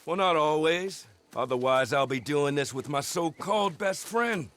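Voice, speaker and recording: An actor playing a determined American outlaw organiser in a western video game, plain-spoken and giving instructions. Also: male voice, a little high, animated, normal speed, a bit bright. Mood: confident, wry. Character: rugged, determined Old West outlaw gang organiser.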